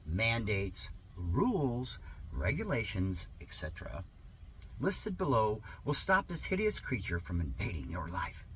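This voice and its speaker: A man speaking as an online host in a halting, emphatic, theatrical way, in the manner of someone sharing and reading satire aloud. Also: fairly low pitch, huge pitch swings, fairly bright tone. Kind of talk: rambling talk and dramatic sarcastic reading. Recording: noticeable background noise.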